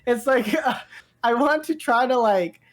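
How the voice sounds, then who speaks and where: A woman co-hosting a pop-culture podcast. She talks in a loose, gushing ramble full of filler words, like an excited fan chatting with a friend. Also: a little high, unhurried, crowd noise. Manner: rambling, heavy use of "like" and "dude"; enthusiastic, gushing. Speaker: podcast co-host. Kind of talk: casual pop-culture chat.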